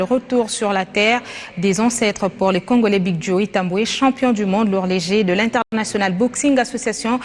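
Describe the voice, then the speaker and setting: Woman news anchor, mid-pitched, formal and measured, some hall reverb on the voice. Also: slight background noise. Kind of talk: scripted news reading and studio interview.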